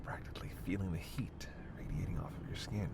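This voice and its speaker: A man's voice: mid-range voice, animated, normal speed, very noisy, close to an everyday voice. A man playing a snarky, teasing vampire captive in a roleplay audio drama, talking with sardonic, flirtatious banter. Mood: predatory, seductive. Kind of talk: roleplay audio drama, one-sided dialogue.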